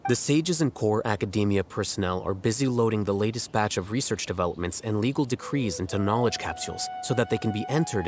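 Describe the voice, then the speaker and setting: A man plays an aloof young scholar for an animated video game. His voice sits fairly low, with measured, formal phrasing and a coolly logical, slightly condescending manner. Music plays clearly behind him. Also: natural voice.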